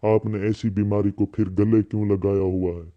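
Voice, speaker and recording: A man's voice: on the low side, flat delivery, moderate pace, no echo, lightly acted. A man playing a paternal, instructing older doctor in a cartoon, on muffled audio. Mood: advising. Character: paternal older male doctor, advising, easily annoyed. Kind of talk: cartoon dialogue.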